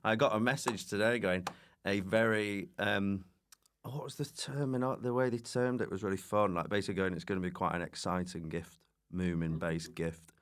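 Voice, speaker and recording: A man chatting casually as a comedian guest, telling stories in an animated, self-mocking way. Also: on the low side, moderate pace. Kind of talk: casual comic chat.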